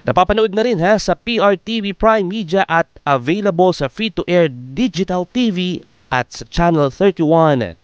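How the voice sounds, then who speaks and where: A Filipino man hosts radio talk with a clear, resonant voice, pitched a little high for a man. He coaxes listeners in an intimate, conversational way. The sound is close and dry. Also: animated, moderate pace, dry recording, highs cut off. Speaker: radio host of late-night relationship advice show. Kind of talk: conversational live radio talk in Tagalog-English.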